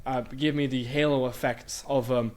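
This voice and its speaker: A man's voice: medium pitch, steady tone, normal speed, darkish tone. Young man, guest in casual interview, rambling speech, lots of ums, from Bulgaria raised in Sweden. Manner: rambling, many fillers and restarts.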